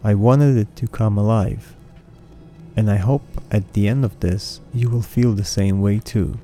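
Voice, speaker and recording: A man in his thirties whose first language is Russian hosts a podcast in a low, deeply mellow voice. He recites his own lyrics in an earnest, measured way. Soft music plays faintly underneath. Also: steady tone. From Russian-speaking background. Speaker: podcast host. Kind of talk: scripted podcast narration and lyric reading. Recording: faint music bed behind voice.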